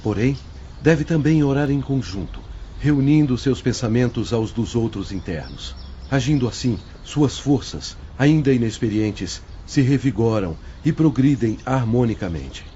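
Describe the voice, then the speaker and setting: Man playing a kind spirit guide, mellow voice, gentle and reassuring, dull lo-fi sound, faint hiss. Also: medium pitch, animated, measured pace, natural voice. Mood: gentle counsel. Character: kind, patient male spirit counselor. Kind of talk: audio drama acting. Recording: dull lo-fi audio with faint noise.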